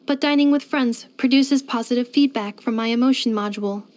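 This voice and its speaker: A woman's voice: fairly high pitch, brisk, darkish tone, natural voice. A woman voicing a polite, devoted household robot companion in a video game, speaking in formal, precise, computer-like phrasing. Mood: polite, matter-of-fact. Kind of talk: scripted video game character voice lines.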